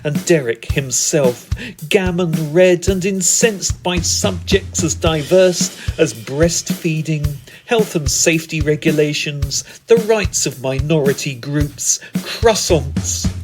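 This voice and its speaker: A British man delivering scripted comic poetry as a spoken word performer, with a deliberate, theatrical and wry manner. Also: a little high. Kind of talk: scripted comic poetic narration.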